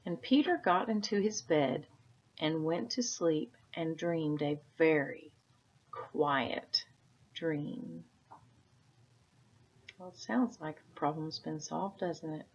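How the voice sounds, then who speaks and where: Slow, husky woman reading a storybook. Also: low-pitched. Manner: asks gentle tag questions. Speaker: read-aloud teacher. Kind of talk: storybook narration with casual commentary for children. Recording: dull, lo-fi sound.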